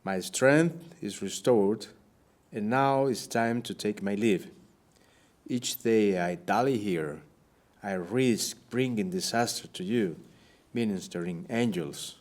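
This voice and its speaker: A man playing a wounded, weary, grave Spanish captain on the run in a period audio drama, with formal, measured speech. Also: on the low side, a little mellow. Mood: solemn, grateful. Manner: formal, measured, archaic phrasing.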